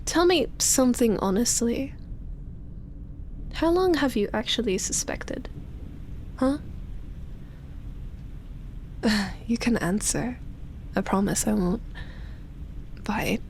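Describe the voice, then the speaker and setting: A woman voicing an ancient, lonely vampire in love, speaking softly and intimately with sighs, whispered words and lingering pauses, as in roleplay ASMR. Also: fairly high pitch, lively intonation, slight background noise, rough recording. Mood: tender, teasing. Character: lonely, obsessive female vampire, ancient, tender and teasing.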